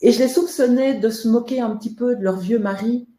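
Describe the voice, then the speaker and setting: A woman's voice: a little high, brisk, warm tone, echoey room. A woman from Belgium, the guest author in a webinar interview, talking in a hesitant way with many fillers and restarts.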